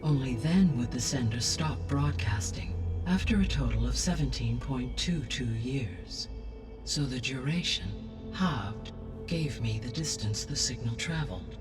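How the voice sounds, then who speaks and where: A calm, learned male AI from a video game voiced by an actor, set back from the microphone with music playing behind. He speaks formally and precisely, a benevolent guardian weighing every word. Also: mid-range voice, far from the mic, lightly acted. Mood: precise, matter-of-fact. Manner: measured, precise, formal diction. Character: calm, benevolent, erudite male artificial intelligence guarding life on Earth. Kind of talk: scripted video game dialogue.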